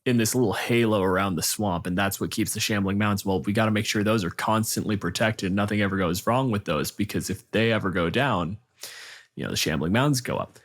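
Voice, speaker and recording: A man hosting a casual tabletop gaming discussion, riffing in an animated way and acting out imagined quotes. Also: fairly low pitch, brisk.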